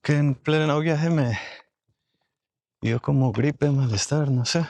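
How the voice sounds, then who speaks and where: A man with a hoarse voice vlogging casually outdoors in a desert park, chatting and thinking aloud to his viewers. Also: a little high, slow pace, a little mellow. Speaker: travel vlogger.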